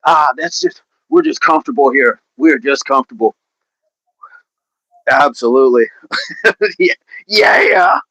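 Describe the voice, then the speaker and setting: A man's voice: medium pitch, huge pitch swings, unhurried, vocal fry, long pauses, pushed and bright, breathy edge, dry recording, highs cut off. Upbeat chatty man on a dry mic. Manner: chatty, upbeat, laughs often, repeats phrases. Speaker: fitness livestream host. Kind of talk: casual livestream chat answering viewer comments.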